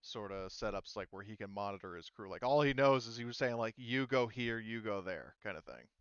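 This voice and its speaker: A man running a tabletop game as game master, talking casually and haltingly as he thinks aloud. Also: on the low side, fairly bright tone, clean tone, no room sound, muffled recording.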